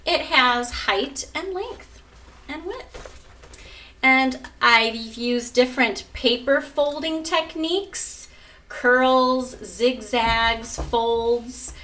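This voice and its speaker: A woman with a bright, fairly high voice speaks slowly and instructively, like a teacher guiding children through a lesson or picture book. She sounds some way from the mic, with noise behind her. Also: distant mic. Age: adult. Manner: instructive, prompting children with questions. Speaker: art teacher and mother. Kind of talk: lesson narration and picture book read-aloud. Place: at home.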